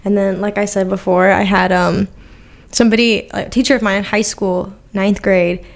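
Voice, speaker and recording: A woman's voice: medium pitch, level tone, moderate pace, gravelly. Rambling young woman guest. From Milwaukee, Wisconsin. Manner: rambling, filler-heavy. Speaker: podcast guest. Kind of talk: casual conversation.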